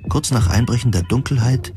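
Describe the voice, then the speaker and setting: A man narrating a first-person science fiction audio drama in measured, deliberate, literary phrasing. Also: mid-range voice, over music.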